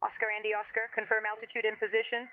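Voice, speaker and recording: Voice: medium pitch, monotone, rapid-fire, phone-quality sound. An air traffic controller giving clipped, procedural instructions in radio phraseology over an aviation radio link.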